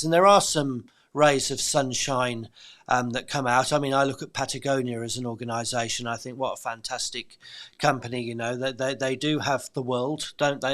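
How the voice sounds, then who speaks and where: A man's voice: medium pitch, a bit bright. A man hosting a podcast interview, reflecting on his guest's answers in a thoughtful, affirming way with frequent fillers. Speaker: podcast host.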